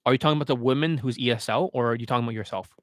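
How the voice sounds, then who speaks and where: A man's voice: medium pitch, racing, no room sound, clean studio sound. A man speaking as a dating coach on a livestream, answering questions in a blunt, instructional way with frequent rhetorical check-ins.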